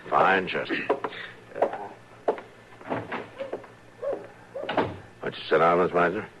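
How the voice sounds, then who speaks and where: A man playing a steady, stern but compassionate American frontier marshal in an old radio western, measured and courteous. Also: sits low, lightly acted. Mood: easygoing. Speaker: lawman lead. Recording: muffled, hissy old radio recording.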